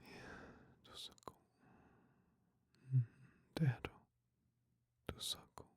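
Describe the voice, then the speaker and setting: A man with a Danish accent playing a tender boyfriend in an intimate sleep-aid audio roleplay, murmuring and whispering slowly and soothingly, close to the mic. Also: lightly acted. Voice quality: breathy whisper, husky murmur. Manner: slow, soothing, hushed, with soft hums. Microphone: very close microphone, dry.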